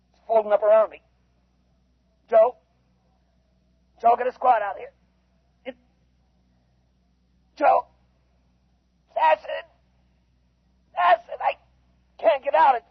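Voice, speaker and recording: A man acting as a gruff field survey captain in a 1950s radio drama, heard through a played-back radio transmission. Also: very high voice, no room sound, exaggerated voice. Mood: panicked, desperate. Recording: thin, phone-like radio transmission, 1950s recording.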